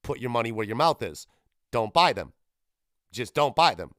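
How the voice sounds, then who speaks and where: A man's voice: mid-range voice, sing-song, moderate pace, a bit bright, no room sound, studio quality. Rambling, irreverent man hosting a podcast. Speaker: podcast host. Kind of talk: casual solo gaming commentary.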